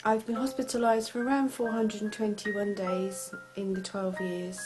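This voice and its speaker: A woman's voice: mid-range voice, little pitch movement, moderate pace, rough recording. A woman giving factual personal testimony about herself. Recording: loud music behind the voice.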